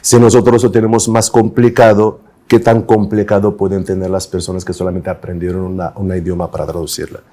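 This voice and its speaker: A man who learned his Spanish later in life, speaking on an interpreters' panel. His voice sits low and sounds mellow and dark. He talks in an easygoing way, chuckling and filling his sentences with small words. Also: flat delivery, brisk, few pauses. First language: not Spanish. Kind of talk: panel discussion.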